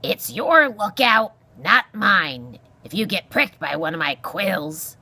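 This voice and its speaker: Lady doing a cranky old boy porcupine from a storybook, scornful and barky, sharp edge, pitch all over the place. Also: big pitch swings, takes it slowly, slightly rough, no echo, exaggerated voice. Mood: blustering. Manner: barking, growling, insulting bluster. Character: grumpy old male porcupine, scornful and loud.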